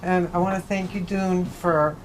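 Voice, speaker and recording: A woman's voice: on the low side, fairly even intonation, normal speed, noisy background. A woman speaking informally as an audience member at a book event, in a conversational, rambling way. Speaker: audience member asking a question. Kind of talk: informal spoken question. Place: bookstore event space.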